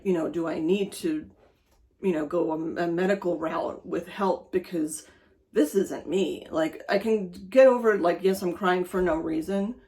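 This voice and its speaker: A woman's voice: lowish voice, darkish tone. Middle-aged woman, German first language, rambling chatty vlog talk, lots of fillers. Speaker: vlogger. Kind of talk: casual personal vlog.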